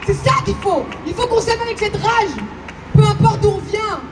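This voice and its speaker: Young woman yelling a protest speech outdoors, crowd noise all around, lots of background noise. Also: very high-pitched, normal speed. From Congo. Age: 20s. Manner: shouting to a crowd, repetitive calls. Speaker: rally speaker. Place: outdoor square at a rally. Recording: echoey, far from mic, crowd and noise.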